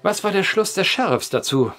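An actor playing a wry male journalist who tells an eerie old tale with deliberate phrasing in an audio drama. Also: high voice, big pitch swings, fairly bright tone, soft background music. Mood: wry, probing. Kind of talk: German audio drama acting, storytelling.

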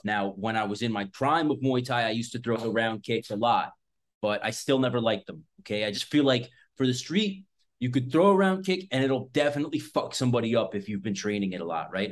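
A man of thirty-six, a self-defense instructor, talking casually and bluntly to camera, swearing often and rambling with lots of fillers. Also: medium pitch. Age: 30s. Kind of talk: casual unscripted talk to camera. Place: small indoor room in front of a backdrop.

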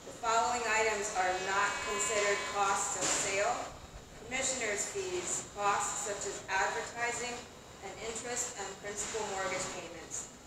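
A young adult woman, a foreclosure auction commissioner, reading legal sale terms aloud in a formal, measured way outdoors in a covered plaza. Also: mid-range voice, steady tone, noisy background. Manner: formal, measured, reads from papers. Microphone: far camera on a tripod. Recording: echoey distant outdoor camera audio.

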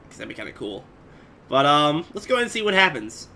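A young man with a bright, forward voice hosts a reaction video in a casual style. He rambles and hesitates through his thoughts. The home recording has clear background noise. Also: a little high, big pitch swings, fast. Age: 20s. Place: small room at home. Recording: noisy home webcam recording.

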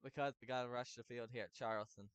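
A young man calling plays in an online football game, shouting touchdowns and then laying down the rules to the players. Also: mid-range voice, steady tone, fast, clean tone, no room sound. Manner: hype announcer yelling touchdowns, then bossy about rules. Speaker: game commentator and league host. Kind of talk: excited play-by-play commentary.

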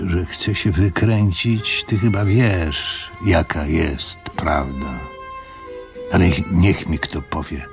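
Old pious innkeeper, radio play, rumbling low, unhurried, reflective and solemn, music behind. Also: male voice, full character voice. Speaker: radio drama actor. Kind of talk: dramatic monologue in a radio play.

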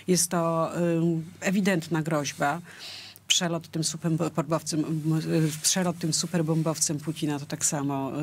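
Older woman, hesitant on a TV panel. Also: low-pitched. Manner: hesitant, many filler sounds. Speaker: journalist panel guest. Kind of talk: studio panel discussion. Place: TV studio.

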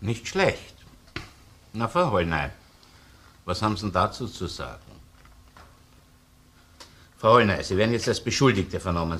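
Gruff viennese police inspector, low sharp forceful voice, sardonic, shrewd, old crime drama style. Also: male voice. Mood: probing. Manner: sardonic, interrogating, hesitant muttering between questions. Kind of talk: naturalistic crime radio or TV drama acting.

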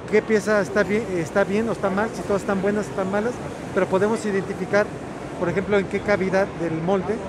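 A middle-aged man giving a technical product demonstration at a trade show, explaining step by step with frequent "eh" fillers. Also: high-pitched. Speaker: product specialist. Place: large indoor trade show hall. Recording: noisy trade show hall.

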